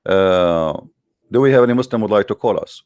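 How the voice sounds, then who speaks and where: Male online debate host, non-native English speaker, low-pitched voice, dry close sound, informal and confrontational delivery. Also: fairly quick, darkish tone, smooth, dry recording. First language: not English. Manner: challenging, confrontational, with rhetorical questions. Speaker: host of an online religious debate call-in show. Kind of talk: informal polemical monologue to live online audience.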